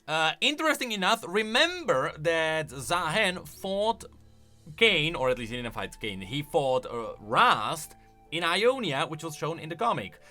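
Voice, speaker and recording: Male lore video host, lively swooping intonation, bright voice, casual emphatic commentary, faint music. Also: fairly high pitch, a little slow.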